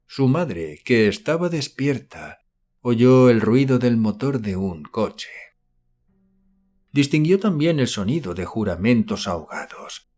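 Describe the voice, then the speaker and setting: Guy narrating a horror audiobook, medium pitch, measured storytelling style. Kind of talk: scripted narration of a horror novel.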